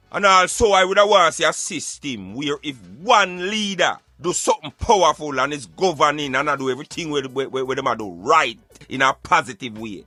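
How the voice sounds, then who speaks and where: Animated, bright-voiced Jamaican man. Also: on the high side, dry recording. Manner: emphatic, rhetorical, preachy. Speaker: radio show host. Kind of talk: impassioned opinionated talk in Patois.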